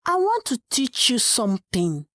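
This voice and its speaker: Hushed, coaxing uncle for a read-aloud story, put on by a woman narrator; slow, soft delivery. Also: fairly high pitch, wildly swooping pitch, no room sound, clean studio sound, exaggerated voice. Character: adult man, soft-spoken coaxing uncle.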